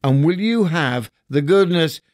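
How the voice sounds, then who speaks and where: A man's voice: fairly high pitch, animated, unhurried, darkish tone, no room sound, clean studio sound, pitched above the performer's own voice. A man reading a storybook and voicing a reformed old miser, eager and effusive. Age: older.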